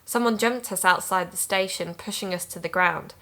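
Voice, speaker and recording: An actress playing an earnest woman amateur sleuth who hosts an investigative podcast, narrating calmly. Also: on the low side, normal speed. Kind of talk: audio drama narration and dialogue.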